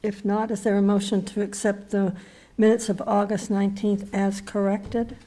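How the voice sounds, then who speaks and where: A woman with a slightly raspy, mellow, dark voice leads a small-town planning board meeting. She talks things through in plain terms, stopping and repeating words as she finds them. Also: mid-range voice, flat delivery, normal speed. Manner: hesitant, repeats words while thinking. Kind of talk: municipal meeting discussion.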